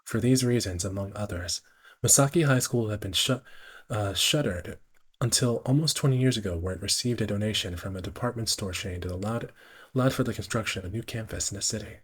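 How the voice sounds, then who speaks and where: Husky low-voiced man reading aloud haltingly. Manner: halting read-aloud, often stumbling and repeating phrases. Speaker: live streamer. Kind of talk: reading visual novel narration aloud.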